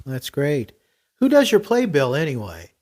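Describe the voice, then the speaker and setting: A man's voice: a little high, wildly swooping pitch, unhurried, darkish tone. An older man hosting a casual podcast interview, chatty and encouraging with small affirming interjections. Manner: chatty, affirming with frequent backchannels. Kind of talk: casual radio-style interview chat.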